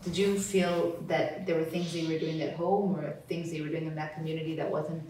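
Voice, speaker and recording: A woman interviewer asking long, curious questions, with soft affirmations between the answers. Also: deep voice, fairly even intonation, brisk. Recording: echoey room, distant mic, light noise.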